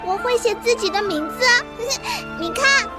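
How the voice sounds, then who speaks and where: Casting: sweet, curious little girl for costume audio drama, very high voice, unhurried delivery, prominent music behind. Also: lively intonation. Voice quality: childlike, high. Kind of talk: Chinese costume radio drama.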